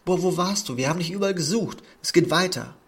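A man's voice: high-pitched, quick pace, a bit bright, voice pushed up. A man doing an eager young guy in a hurry. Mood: urgent.

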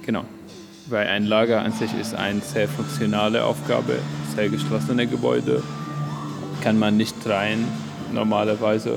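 Guy explaining his student project, slow and halting, bit of fry, music going behind. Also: fairly low pitch, flat delivery. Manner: hesitant, halting non-native German. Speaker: architecture student. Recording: music playing in background.

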